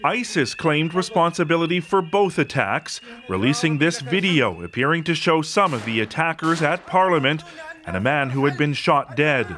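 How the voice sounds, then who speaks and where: Male correspondent, measured scripted voice-over, slightly high pitch. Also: expressive, fairly bright tone. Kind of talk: news report voice-over.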